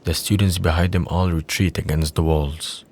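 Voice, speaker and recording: A man's voice: low-pitched, steady tone, moderate pace, a little mellow, slight background noise. A man reading scripted scene narration for an audio drama.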